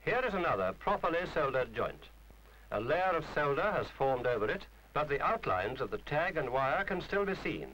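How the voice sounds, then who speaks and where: A man's voice: a little high, big pitch swings, moderate pace, a bit bright, a little hiss or hum, rough recording. A man reading a scripted instructional film narration in a formal, precise, didactic manner.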